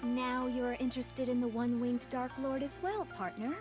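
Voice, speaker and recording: A woman's voice: a little high, level tone, moderate pace, music in the background, natural voice. A woman playing a loyal, self-dramatizing young warrior who speaks formally and solemnly in a game dub. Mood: ominous, dramatic. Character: grandiose, loyal young woman warrior with dark-fantasy delusions. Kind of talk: English game dub voice acting.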